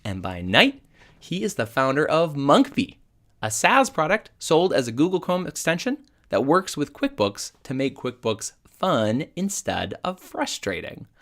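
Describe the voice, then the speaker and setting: A man's voice: a little high, a little slow. Nasal, congested male podcast host. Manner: playful, self-deprecating, animated. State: recovering from illness, congested. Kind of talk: casual podcast interview. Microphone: video call.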